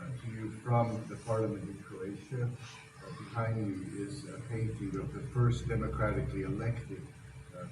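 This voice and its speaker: Man, low voice, hesitant, lots of uh's, echoey distant room. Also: noisy background. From Missouri, USA. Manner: hesitant, frequent filler sounds. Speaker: politician. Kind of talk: recorded video message. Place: parliament building.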